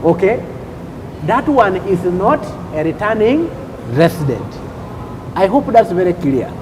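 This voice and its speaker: A man from Uganda, a customs official on a talk show, explaining rules deliberately and repeating points with "okay?" checks. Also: high-pitched, very animated, noisy background.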